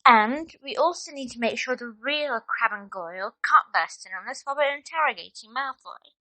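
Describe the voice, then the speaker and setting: A clever schoolgirl witch played by a woman with a high voice, recorded dry and close. She is assured and a little bossy, giving instructions in an audio drama. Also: lively intonation, normal speed, sharp tone, no room sound, low-quality recording. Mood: confident, scheming.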